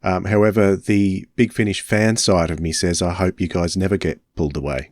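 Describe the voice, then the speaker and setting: Male podcast host, very low voice, dry close sound, measured and conversational. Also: steady tone, darkish tone, no room sound. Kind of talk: casual interview chat.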